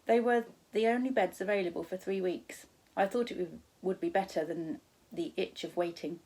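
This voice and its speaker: A woman voicing a kindly, playful gentleman guardian from a storybook, wryly humorous and reassuring. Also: on the low side, normal speed, breathy edge, rough recording. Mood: bright, reassuring.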